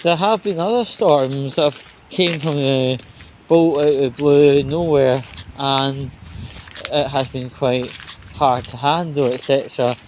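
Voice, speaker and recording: Slow-talking man grumbling outdoors over street noise. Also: mid-range voice. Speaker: vlogger. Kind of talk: casual walk-and-talk monologue. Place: outdoors, walking at night. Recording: clear outdoor ambience and vehicle noise.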